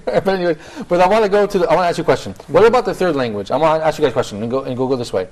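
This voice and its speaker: A man's voice: a little high, rapid-fire, darkish tone, poor audio. A man from the United States hosting a studio talk show, asking the guests questions. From San Francisco, USA. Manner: upbeat, asks guests questions. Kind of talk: casual studio panel talk.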